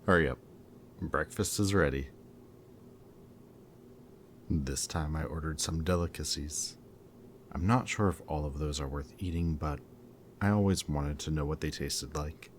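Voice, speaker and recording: A man performs a brooding villain in an audio roleplay with an extremely deep voice. He speaks slowly and deliberately, with mocking politeness over a weary, haunted core. Clearly audible ambient noise sits behind him. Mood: mocking, coaxing. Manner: theatrically polite, slow and deliberate, sighing. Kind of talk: ASMR-style audio roleplay acting.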